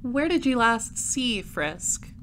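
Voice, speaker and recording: A woman playing a cool space patrol detective in an audio drama, questioning in a clipped, deadpan way. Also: fairly high pitch, slow, slight background noise, heavily acted. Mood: businesslike. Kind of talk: audio drama dialogue and narration.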